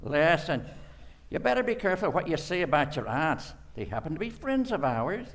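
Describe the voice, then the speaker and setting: A man's voice: on the high side, sing-song, moderate pace. A man playing a gullible, folksy, talkative neighborhood cop in an old-time radio play. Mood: breezy. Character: chatty, gullible male neighborhood beat cop.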